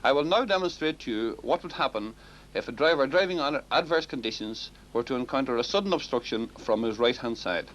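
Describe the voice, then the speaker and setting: A man's voice: medium pitch, animated, brisk, fairly bright tone. A man, a driving instructor, giving formal demonstration commentary on a 1960s film recording. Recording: muffled, noisy 1960s film sound.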